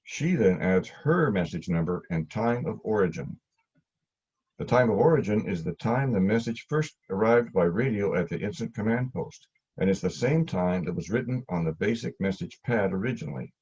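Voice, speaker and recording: A man giving scripted instructional narration in a plain, measured way, as a class instructor. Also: on the low side, expressive, a little mellow, breathy edge. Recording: dull, lo-fi audio.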